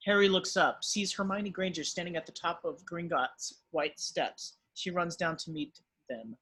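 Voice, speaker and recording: A man with a husky voice reading stage directions as the narrator of a script table read. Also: high-pitched, normal speed, pushed and bright.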